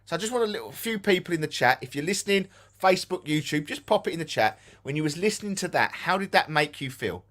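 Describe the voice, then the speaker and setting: Essex man, live-stream co-host, emphatic, slightly higher pitch. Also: quick pace, few pauses. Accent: Essex English. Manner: animated, emphatic, repeats himself for effect. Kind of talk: casual live-stream chat.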